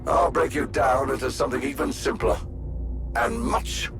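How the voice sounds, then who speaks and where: A man's voice: a little high, very animated, moderate pace, creaky, heavy background noise. A man playing an arrogant supervillain mastermind in a video game, pompous, theatrical and menacing. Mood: gloating menace. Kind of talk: video game cutscene acting. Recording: loud music behind the voice.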